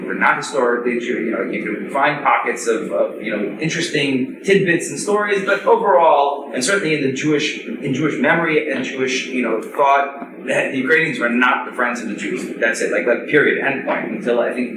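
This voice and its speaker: A man on a discussion panel, speaking casually and haltingly with many restarts and fillers. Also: medium pitch. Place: large room with an audience. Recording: echoey room mic from far off, crowd. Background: crowd murmur.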